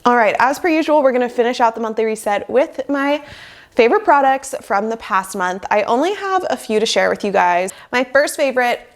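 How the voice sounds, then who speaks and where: A woman's voice: fairly high pitch, animated, fairly quick. A young woman in her twenties, sick with a cold and sounding nasal, chatting upbeat to camera in a small room on a lapel mic, with lots of "like" fillers. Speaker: lifestyle vlogger. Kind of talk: casual chat to camera. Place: small bedroom office indoors. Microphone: lapel mic plugged into a phone.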